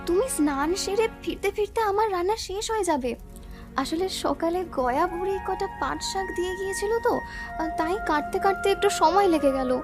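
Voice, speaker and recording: Woman acting very high-pitched cartoon Bengali woman, music behind. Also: brisk. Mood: apologetic.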